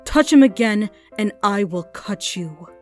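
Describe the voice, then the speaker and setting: A woman voicing a fierce, protective living rag doll, sharp and threatening. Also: mid-range voice, expressive, a little slow, faint music behind, natural voice. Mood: angry, threatening. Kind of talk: cartoon comic dub.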